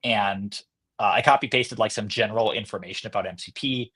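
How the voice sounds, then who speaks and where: A man with a fairly low voice talks casually as an interview guest from a tech company. He thinks aloud, hesitating and circling back as he builds his explanations in a conversational way. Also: brisk, fairly bright tone, breathy edge.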